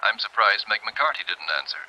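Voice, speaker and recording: An actor playing an authoritative, businesslike small-town doctor in 1950s radio style. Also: male voice, on the low side, lively intonation, fairly quick, sharp tone, slight background noise. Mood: brisk, professional. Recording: dull old radio broadcast.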